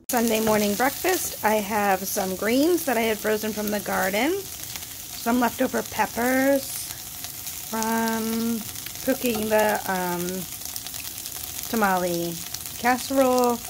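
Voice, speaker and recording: A woman vlogging casually as she cooks at home, chatty and relaxed with frequent ums, her voice a little congested. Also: mid-range voice, background noise. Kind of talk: casual kitchen vlog narration. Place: home kitchen.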